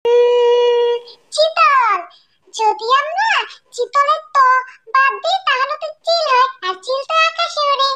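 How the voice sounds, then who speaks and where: A woman's voice: very high voice, slow, pushed and bright, pitched above the performer's own voice, heavily acted. A woman voicing an innocent, orphaned little girl bird in a cartoon, with a tiny childlike, plaintive voice. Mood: eager, thoughtful. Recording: echoey, music underneath.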